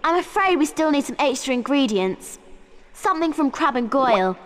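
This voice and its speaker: A woman voicing a clever, bossy British schoolgirl witch in a video game, brisk and earnest. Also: sits high, sing-song.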